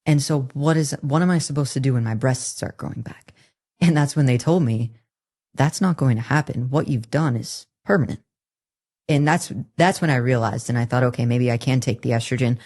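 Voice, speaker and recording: A woman in her twenties with a somewhat deep voice, telling her own story as an interview guest in an earnest, candid way, with halting restarts. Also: darkish tone. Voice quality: somewhat deep, masculine-leaning voice. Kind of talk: personal testimony in a podcast interview.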